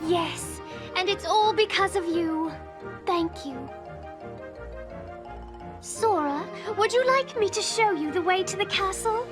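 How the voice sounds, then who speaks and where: A woman playing a polite, earnest young storybook girl in a video game, prim, gentle and careful. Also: very high voice, slow, long pauses. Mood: grateful. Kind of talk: animated game voice acting. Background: prominent music.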